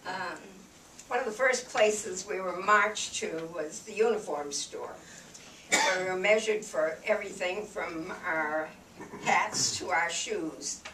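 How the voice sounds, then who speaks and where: Old lady in her 80s with a little cold, talks real slow, echoey room, mic far off, dishes clanking. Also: fairly low pitch, a little hiss or hum. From New Hampshire. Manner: slow, wry reminiscing with soft laughs and fillers. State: slight cold, croaky. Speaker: veteran guest speaker. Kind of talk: personal war memories told to an audience. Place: room with an audience.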